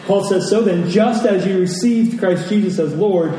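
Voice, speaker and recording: A man's voice: high voice, moderate pace, mellow. A man preaching a conversational sermon, repeating key phrases for emphasis. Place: large reverberant room. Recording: very echoey hall, distant mic, faint noise.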